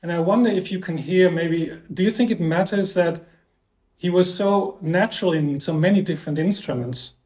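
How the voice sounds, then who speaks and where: A man's voice: sits high, moderate pace, a little mellow. A man whose first languages are Dutch and German, co-hosting a podcast and asking long, winding questions with many ums. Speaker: podcast co-host. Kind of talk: casual music discussion. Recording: echoey room.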